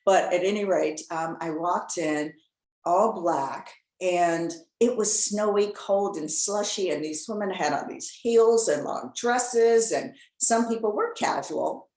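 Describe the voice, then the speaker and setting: A woman hosting a podcast interview, chatting casually and telling lively stories with frequent laughter. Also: lowish voice, takes it slowly. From Chicago area. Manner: lively storyteller, laughs often. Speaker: podcast host. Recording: echoey room.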